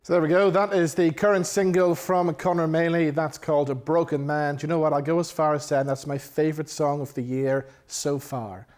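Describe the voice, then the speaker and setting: A man hosting a studio interview, chatty and upbeat, quick to laugh. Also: fairly high pitch, fairly even intonation, darkish tone. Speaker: show host. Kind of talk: friendly radio interview. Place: radio studio.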